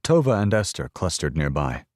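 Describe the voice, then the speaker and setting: A man with a deep, low voice narrates a storybook in the first person, moving his pitch in lively, expressive curves. The recording is close and completely dry, like a studio booth. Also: moderate pace, no room sound. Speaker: audiobook narrator.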